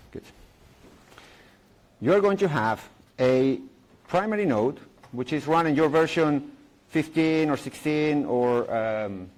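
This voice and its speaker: A man's voice: a little high, a little mellow. A middle-aged man from Chile, giving a playful live technical talk at a conference, full of jokes, fillers and restarts. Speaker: conference speaker. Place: conference room.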